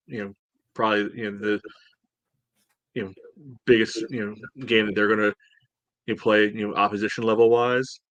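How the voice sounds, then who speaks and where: A man gives casual sports analysis in a fairly low voice. His intonation stays flat while his phrasing is loose and conversational. The sound is close and dry. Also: no echo, muffled recording. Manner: conversational, peppered with "you know". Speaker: soccer podcast co-host.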